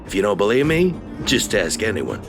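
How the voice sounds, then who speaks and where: A man's voice: lowish voice, huge pitch swings, normal speed. An actor playing a touchy, slick, self-important merchant with a haughty, theatrical salesman manner in a video game. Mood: confiding, accusing. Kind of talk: video game character acting. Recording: music behind the voice.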